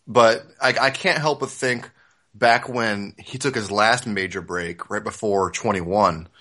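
A man with a fairly low, bright and forceful voice. He talks as a podcast co-host in casual discussion, picking things apart in an analytical, emphatic way. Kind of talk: casual discussion of a comic series.

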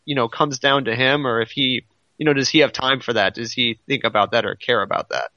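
A man's voice: medium pitch, fairly quick, pushed and bright, no echo. A man co-hosting a podcast in informal, musing chat, recorded on a dull lo-fi track. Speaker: podcast co-host. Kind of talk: casual chat.

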